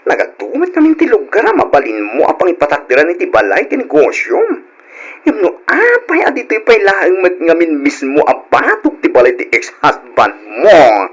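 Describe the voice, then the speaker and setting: A man's voice: on the high side, huge pitch swings, normal speed, husky, full character voice. A man playing a flamboyant, gossipy, teasing friend in an Ilocano radio drama, with campy exclamations. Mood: apologetic, nosy.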